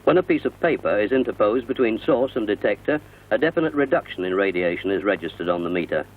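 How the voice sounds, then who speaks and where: A British man narrating a scripted 1960 industrial newsreel in a formal, measured, explanatory manner, recorded as a voice-over. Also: on the low side, expressive, slight background noise. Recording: muffled, narrow-band vintage film soundtrack.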